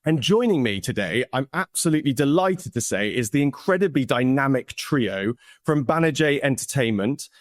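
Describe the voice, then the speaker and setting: A man's voice: medium pitch, animated, normal speed, no echo, studio quality. A man hosting an interview podcast, effusive and eager, often repeating words and restarting sentences as he asks questions. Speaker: podcast host.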